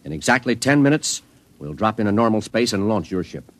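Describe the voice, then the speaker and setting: A man's voice: lowish voice, sing-song, fairly quick. A man acting a businesslike spaceship commander giving orders, in mid-century radio drama style.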